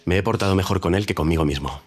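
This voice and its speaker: An actor playing a haughty, proud gentleman who speaks in a cold, formal and clipped way, with soft music under him. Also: low voice, racing. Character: haughty proud gentleman making a stiff proposal. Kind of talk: audio drama acting.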